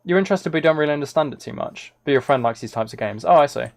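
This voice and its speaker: A man's voice: a little high, animated, racing, vocal fry. A man chatting casually on a gaming livestream, rambling and thinking aloud as he plays. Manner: rambling, musing to himself while playing. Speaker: live streamer.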